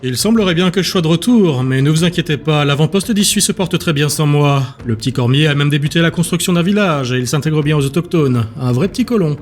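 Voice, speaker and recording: Man acting a breezy, sardonic councillor, higher pitched. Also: expressive, quick pace, few pauses, natural voice. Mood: breezy, teasing. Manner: breezy, ironic, theatrical. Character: casual, sardonic, mocking male councillor. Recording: music bed behind the voice.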